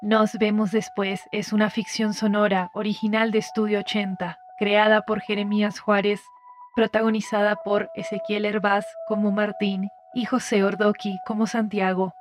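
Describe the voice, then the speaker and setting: A woman reading scripted podcast announcements and credits in a measured announcer's manner. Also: medium pitch, little pitch movement, slight background noise. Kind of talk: scripted narration. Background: music.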